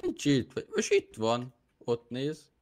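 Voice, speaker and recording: A man's voice: medium pitch, wildly swooping pitch, darkish tone. A man bantering casually in a roleplay game, crude and slangy in his talk. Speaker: roleplay gamer. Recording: noisy gaming voice chat.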